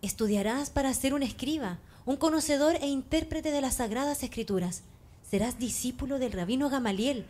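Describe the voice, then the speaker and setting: A woman's voice: high voice, moderate pace, darkish tone, close to an everyday voice. A woman playing an affectionate, wistful mother of a young man in a radio drama. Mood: pleading, wistful. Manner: tender, pleading.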